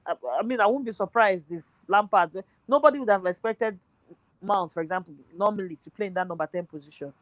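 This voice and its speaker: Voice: mid-range voice, fairly quick, a bit bright, dry recording, low-quality recording. A speaker talking football on a casual podcast, thinking aloud with lots of fillers, voice nearly worn out. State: voice tiring, nearly gone.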